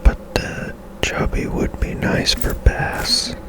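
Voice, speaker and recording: Casting note: whispering ASMR gamer, breathy and very unhurried, thinking aloud in a tentative way. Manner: unhurried, musing to self, tentative. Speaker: gaming ASMR video creator. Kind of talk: whispered ASMR game commentary.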